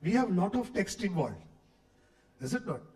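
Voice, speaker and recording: A man's voice: sits high, sing-song, normal speed, darkish tone. A middle-aged man with an Indian English accent, lecturing a room of faculty with a cold, chatty and full of questions for the audience. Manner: conversational, asks the audience questions, says okay often. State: has a cold, voice not clear. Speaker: guest lecturer. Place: lecture hall. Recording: echoey hall, speaker far from mic.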